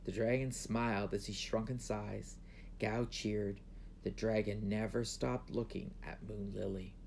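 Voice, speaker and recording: A man's voice: fairly low pitch, steady tone, measured pace, creaky, darkish tone. Man narrating a storybook, faint background noise. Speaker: author reading his book.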